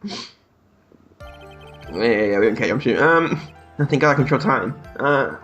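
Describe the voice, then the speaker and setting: A man's voice: medium pitch, lively intonation, a little slow, a bit bright, lo-fi sound, faint music behind. A young man hosting a let's play, joking crudely and reading dialogue aloud. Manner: irreverent, crude, laughing often.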